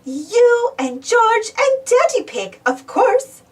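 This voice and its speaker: Woman as a gentle, high-voiced mother pig. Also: very animated, slow pace, recorded from a distance, full character voice. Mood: fond. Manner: patient, explaining. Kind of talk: children's storybook read-aloud.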